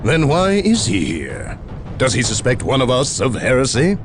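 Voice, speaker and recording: An actor playing an earnest, questioning subordinate officer in a video game. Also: male voice, mid-range voice, wildly swooping pitch, moderate pace, music in the background. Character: earnest, questioning military officer, loyal subordinate to his captain. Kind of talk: dramatic video game cutscene acting.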